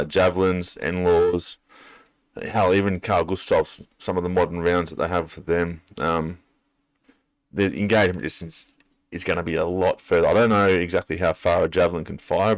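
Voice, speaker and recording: A man's voice: low voice, no echo. A man giving casual, unscripted commentary as a reaction video host, thinking aloud with some hesitation.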